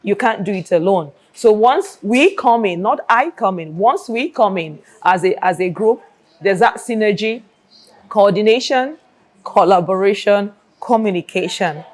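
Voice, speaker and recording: A woman's voice: mid-range voice, animated, a little slow. A Nigerian-accented woman, an air traffic controller, explaining her work in an interview and repeating phrases for emphasis.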